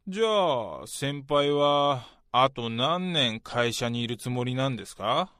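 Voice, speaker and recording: A man's voice: mid-range voice, lively intonation, slow, a bit bright, no room sound, studio quality. A young man acting an earnest, polite junior salesman who speaks deferentially in a radio drama. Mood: concerned, probing. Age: young adult. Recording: prominent music behind the voice.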